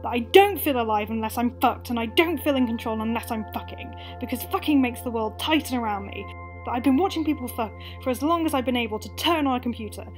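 A woman's voice: a little high, fast, soft background music. A young woman acting out a wry, frank, confessional monologue as narrator of a radio play. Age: young adult.

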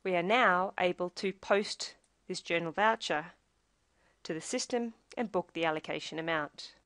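A woman reading a scripted software tutorial, explaining each step in a measured, instructional way. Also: fairly low pitch, no echo.

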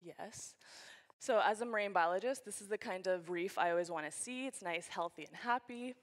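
A woman's voice: medium pitch, moderate pace. A young woman from Canada giving a keynote talk on a conference stage, speaking into a handheld microphone in a large hall.

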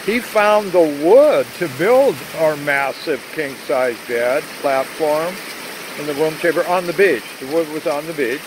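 An older man narrating a rambling video outdoors among trees, talking in a halting way with many fillers, recorded on a handheld phone. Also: a little high, expressive, background noise. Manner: slow, halting, full of uh fillers. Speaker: vlogger. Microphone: phone at arm's length.

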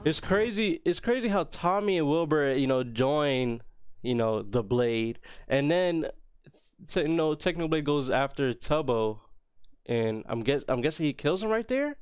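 A man's voice: fairly high pitch, expressive, unhurried, clear, resonant tone, no echo, soft background music. A young man giving casual reaction commentary from a small room at home. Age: young adult. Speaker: reaction video host.

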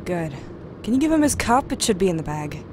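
Caring, excitable mother for audio drama, female actor; lively swooping delivery, slightly noisy track. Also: fairly low pitch, fairly quick. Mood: upbeat coaxing. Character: caring, excitable mother of a baby, easily exasperated.

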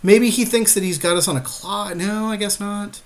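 A man's voice: high voice, normal speed. A man chatting casually and jokily in slang as he co-hosts card-game commentary. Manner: slangy, jokey. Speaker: podcast co-host advising on an online card game.

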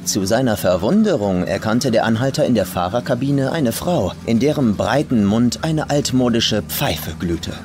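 A man's voice: mid-range voice, lively intonation, moderate pace, few pauses, noisy background. A man reading a horror story as a dramatic scripted narration, like a professional audiobook narrator. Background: faint music and atmospheric sounds.

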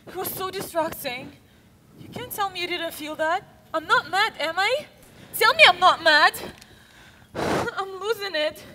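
A woman's voice: very high-pitched, normal speed, pushed and bright, a little hiss or hum. A woman voicing a distraught, panicky young man who clings to his sister. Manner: emotional, panicky. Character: anxious, distraught young man rejected by family. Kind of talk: hobby voice drama.

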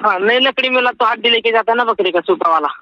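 A man's voice: extremely high pitch, quick pace, a little hiss or hum. A man from Maharashtra, a native Marathi speaker with a Marathi accent, talking casually as a caller and repeating his words. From Maharashtra, India. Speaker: caller, follower of a guru. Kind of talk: casual phone conversation. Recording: muffled narrow-band phone call.

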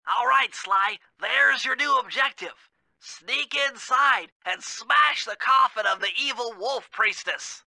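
Sharp, nerdy cartoon brainiac. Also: male voice, very high voice, takes it slowly, vocal fry, no echo, full character voice. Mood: confident instructing. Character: nerdy, brainy male turtle gadget expert. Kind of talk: video game voice acting.

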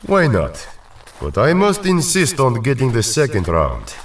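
A man acting a protective, patient but exasperated young Russian superhero in an audio drama, speaking haltingly and expressively. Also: mid-range voice, huge pitch swings, distant mic. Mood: calm, friendly.

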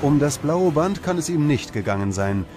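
A man reading scripted documentary narration in a measured, dramatic manner. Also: on the high side, very animated. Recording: music under the voice. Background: background music.

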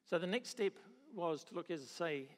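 A middle-aged man with a New Zealand accent giving a conference talk on a handheld mic, halting, with many restarts and dry understatement. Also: on the high side, animated. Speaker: agricultural economist presenting at a conference. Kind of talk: conference presentation. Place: large conference hall. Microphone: handheld microphone. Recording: reverberant conference hall.

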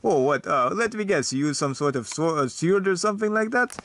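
A man voicing a vampire talk show host who is fussy, excitable and hesitant, in a comedy stop-motion show. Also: on the high side, brisk, slight background noise, pitched above the performer's own voice. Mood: chatty.

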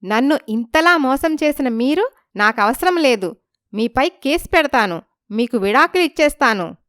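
A woman acts out a naive village wife in a dramatized moral story. Her voice is high and smooth, with a wronged, indignant edge. She questions and pleads like someone who has been deceived. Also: expressive, normal speed, fairly bright tone, no echo, clean studio sound.

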